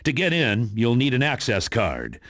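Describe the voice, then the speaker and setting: A man's voice: medium pitch, wildly swooping pitch, moderate pace, a bit bright, no echo, studio quality. A man playing a stern, businesslike spy commander giving video game mission briefings in an authoritative, matter-of-fact way.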